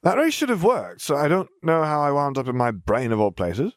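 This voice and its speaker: A man's voice: fairly high pitch, wildly swooping pitch, fairly quick, no echo, studio quality, voice pushed up. A man voicing a chatty, blunt, oddly morbid little girl in an audiobook. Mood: puzzled, blunt. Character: odd, matter-of-fact, blithely morbid little girl. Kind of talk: audiobook character voice.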